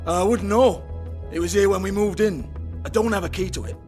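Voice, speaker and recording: Shifty British club boss for a game, male actor: high-pitched, sneering, foul-mouthed, taunting. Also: fairly quick, a little mellow, soft background music, natural voice. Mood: exasperated. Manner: sarcastic, sweary, taunting. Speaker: game character, shady club owner. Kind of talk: video game voice acting.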